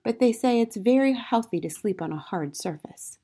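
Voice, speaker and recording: A woman playing a gentle, modest young schoolteacher in a storybook, confiding in a soft, restrained way with quiet resilience. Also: fairly high pitch, lively intonation, moderate pace, husky, voice pushed up. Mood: reassuring.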